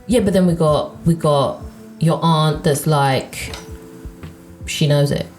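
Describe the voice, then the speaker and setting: Low-voiced woman, blunt and chatty. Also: takes it slowly, darkish tone, faint music behind, poor audio. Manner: emphatic, uses slang like "point blank". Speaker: podcast co-host. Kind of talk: casual chat.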